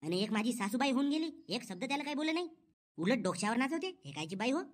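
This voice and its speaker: A man's voice: extremely high pitch, big pitch swings, fast talker. Man voicing an aggrieved, complaining cartoon village wife. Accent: rural Maharashtrian. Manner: plaintive, gossipy grumbling. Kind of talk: comedy cartoon dubbing.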